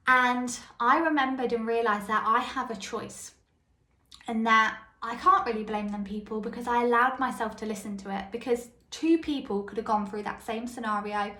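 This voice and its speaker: A woman with a high, light voice hosting a solo self-help podcast. She tells personal stories and keeps checking in with the listener. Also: a bit bright. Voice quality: high, light voice that others called squeaky. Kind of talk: solo motivational podcast monologue. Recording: echoey room.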